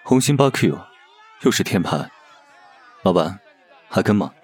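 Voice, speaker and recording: A man's voice: sits low, very animated, a little slow, slightly rough, heavily acted. A man playing a shrewd, cheeky young gambler with a wry, grumbling manner in a Chinese audio drama. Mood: cool, confident. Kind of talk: Chinese audio drama acting with inner monologue.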